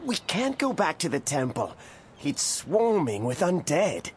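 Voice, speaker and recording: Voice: medium pitch, sing-song, measured pace, a little hiss or hum. An actor playing an anxious, deferential scepter bearer in a video game cutscene.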